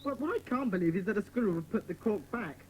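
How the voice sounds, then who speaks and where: A woman playing a bossy, stern young schoolboy who leads a children's club in a dramatised audiobook, commanding and brisk. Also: medium pitch, animated, a little mellow, slight background noise, close to an everyday voice, low-quality recording. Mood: sceptical.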